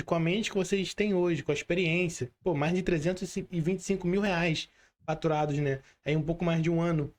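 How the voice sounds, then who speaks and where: A Brazilian man speaking as a podcast host, interviewing guests conversationally with an enthusiastic manner. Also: high-pitched.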